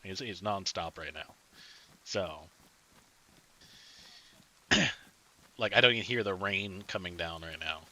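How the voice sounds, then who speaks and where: A man talks through his game session in loose, rambling chat with his squad. His voice sits fairly low but has a hard, very bright edge. He sounds chatty and unhurried. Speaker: streamer. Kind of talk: casual gaming chat with teammates.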